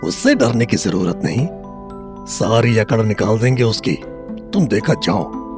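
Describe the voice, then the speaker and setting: A man's voice: lowish voice, animated, unhurried. An actor playing a besotted, possessive older man who heads the household and drinks, in an audio drama. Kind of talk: Hindi audio drama acting. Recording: music bed behind the voice.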